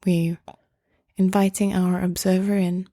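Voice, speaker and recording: A woman leads a guided meditation in a mellow, soft-edged voice that stays very even in pitch. She speaks gently and very slowly, leaving long pauses between phrases. The sound is close and dry. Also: dry recording. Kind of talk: guided meditation, slow and soothing.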